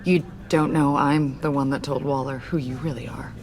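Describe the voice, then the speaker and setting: An actress playing an earnest, conflicted government agent in a video game, speaking in a measured, candid and slightly formal way. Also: female voice, very deep voice, steady tone, a little mellow. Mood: thoughtful, guarded. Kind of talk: naturalistic video game dialogue.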